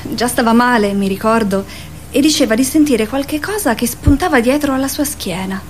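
Woman playing a wistful, higher-voiced mother. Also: expressive, normal speed, slight background noise, close to an everyday voice. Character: wistful, tender adult mother of a young boy. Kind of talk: theatrical radio drama acting.

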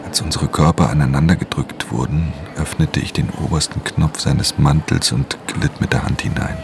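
A man playing a world-weary, guarded pickpocket who narrates a radio crime drama in an intimate, gravelly voice. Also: extremely low pitch, fairly even intonation, moderate pace, noisy background. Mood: brooding, tense.

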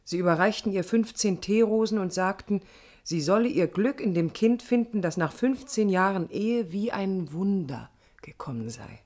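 An actress playing an impulsive, defiant young woman architect in a radio drama, expressive and teasing. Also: mid-range voice, moderate pace, natural voice. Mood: intense, searching. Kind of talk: 1980s German radio drama acting.

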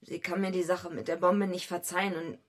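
An actress playing a gruff, streetwise young female fighter who speaks bluntly and tersely in a radio drama. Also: little pitch movement, a little fast, dry recording, studio quality, lightly acted. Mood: urgent, distressed. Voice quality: low, husky, gravelly. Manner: blunt, terse, laconic first-person telling. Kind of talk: radio drama acting with narration.

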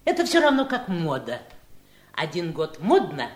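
An actress in a radio drama playing a calm, wise, plain-spoken household woman with a reflective, deliberate manner. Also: on the low side, reverberant. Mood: philosophical. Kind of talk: Soviet radio drama acting.

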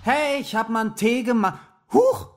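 A man acting a cheeky young student flatmate in a radio drama, flippant, casual and teasing. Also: extremely high pitch, moderate pace, background noise. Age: young adult. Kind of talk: naturalistic radio drama dialogue.

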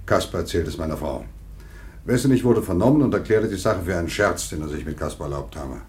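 A grave town mayor, with a low voice. He speaks with formal, deliberate authority and firm emphasis in a classic drama manner. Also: animated, darkish tone. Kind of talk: German radio drama acting.

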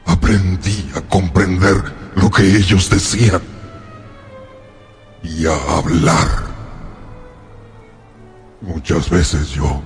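An actor playing a lonely, sorrowful monster who tells his story in a brooding, halting way for a radio drama. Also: low voice.

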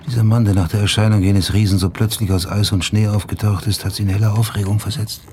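A man's voice: on the low side, level tone, fast, a little mellow, natural voice. A man acting a blunt, wary, insistent ship's officer in a radio play. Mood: grave, wary. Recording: clear noise, ambience behind.

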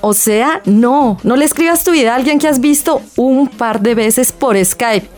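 A woman's voice: sits high, unhurried, a little mellow, faint music behind. A Colombian woman playing a sceptical, scolding realist in a colloquial scripted radio sketch. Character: sceptical, scolding woman warning against online romance.

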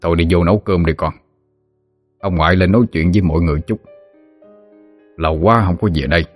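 Man acting a low grumbling old grandfather. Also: a little fast, no echo, faint music behind. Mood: calm. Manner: grumbling, indulgent. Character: gruff, fond old grandfather, grumbling but indulgent.

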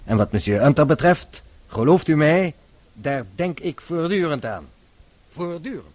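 An actor playing a shrewd, composed French police inspector in a radio play, speaking formally with measured insistence. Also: male voice, a little high, lively intonation, darkish tone. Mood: earnest, reassuring.

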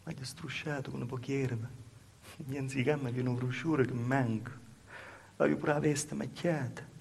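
Guy acting as anguished young calabrian peasant woman, gravelly, halting stage monologue, slight background noise. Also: medium pitch, fairly even intonation, a little mellow, close to an everyday voice. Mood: anxious, pained. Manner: halting, repetitive storytelling in short phrases. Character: poor young Calabrian village woman, naive, anguished, in love. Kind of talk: solo stage monologue in southern dialect. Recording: faintly noisy live theatre recording.

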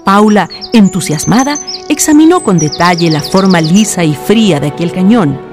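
A woman's voice: lowish voice, expressive, normal speed, over music. A woman reading scripted narration for a radio drama.

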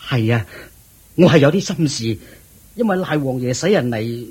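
A fretful, raspy rich father. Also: medium pitch, lively intonation, normal speed, darkish tone. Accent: Hong Kong Cantonese. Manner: pleading, fretful. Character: proud worried wealthy older father. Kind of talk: radio drama acting.

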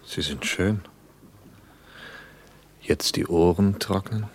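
An actor playing a tender, devoted lover in a radio play, talking in a gentle, coaxing, reassuring way. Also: male voice, low voice, slow pace, slight background noise. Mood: tender, playful. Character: tender, devoted male lover caring for his woman. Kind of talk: intimate radio play acting.

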